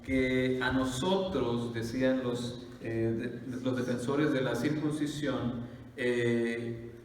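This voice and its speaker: A pastor, preaching in a conversational style full of "eh" fillers and rhetorical questions. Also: male voice, mid-range voice, flat delivery, slow pace, a little hiss or hum. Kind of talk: sermon. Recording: echoey hall from far off.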